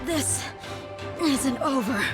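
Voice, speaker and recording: A woman's voice: high-pitched, animated, extremely slow, over music, exaggerated voice. A woman playing an earnest, stubborn young female warrior in a video game, sounding strained. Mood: defiant.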